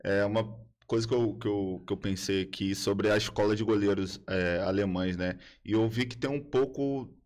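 A man in his thirties hosting a podcast in casual, slangy talk, halting and restarting his sentences, on a boom-arm studio mic. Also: fairly even intonation, a little mellow. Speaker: podcast host. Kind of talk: casual chat.

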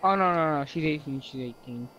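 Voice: medium pitch, brisk, fairly bright tone, lo-fi sound, a little hiss or hum. A player improvising casual roleplay while gaming, talking over a headset voice chat.

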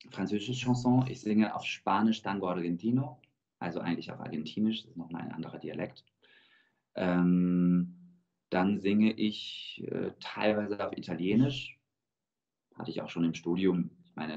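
A man in his thirties, born in Georgia with Russian as his first language, speaking as a guest singer in a thoughtful, measured way over a video call. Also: low-pitched, darkish tone. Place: indoor room with plain wall. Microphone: video call webcam. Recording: dull lo-fi video call audio.

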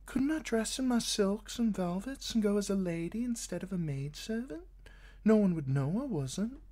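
A man's voice: high-pitched, lively intonation, moderate pace, mellow, pitched above the performer's own voice. A man voicing a sulky young woman who pleads with her lover. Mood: pleading.

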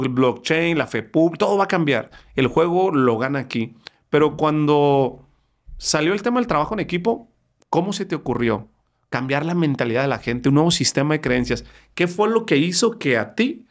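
A man in his thirties with a Mexican accent, hosting a podcast interview in a studio, talking with lively energy and frequent restarts. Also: fairly high pitch. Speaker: podcast host. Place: studio with wood-paneled wall. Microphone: studio mic on boom arm.

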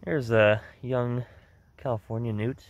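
A man giving casual, low-key narration as a wildlife vlogger filming outdoors from behind the camera. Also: fairly even intonation, measured pace, no room sound, lo-fi sound. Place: outdoors in woods and grassland. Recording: outdoor ambient noise.

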